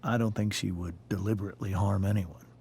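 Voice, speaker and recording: A man acting a grave, deep-voiced, protective father. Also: fairly even intonation, a little mellow, slight background noise, close to an everyday voice. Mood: worried, grave. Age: middle-aged. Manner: grave, deliberate, measured. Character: stern, protective middle-aged father guarding painful secrets. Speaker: voice actor in a video game. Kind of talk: dramatic game cutscene acting.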